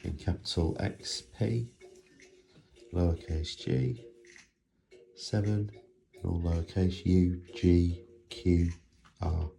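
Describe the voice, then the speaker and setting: A man's voice: deep voice, slow, vocal fry, long pauses, dark tone. A man chatting casually at home, mostly in short, informal replies to his partner. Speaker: partner helping on a home video. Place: indoors at home.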